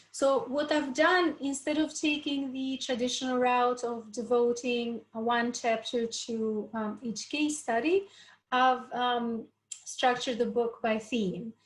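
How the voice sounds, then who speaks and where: A woman from Albania in her thirties, giving a relaxed academic talk on a video call, with many ums and uhs. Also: a little high, slow. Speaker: panel speaker, urban researcher. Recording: echoey room sound.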